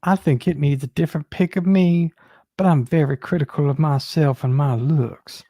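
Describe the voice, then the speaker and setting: Soft-toned higher-pitched man reading aloud. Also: normal speed, no echo, studio quality. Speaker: panellist reading emails aloud. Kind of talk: reading documents aloud on a casual live show.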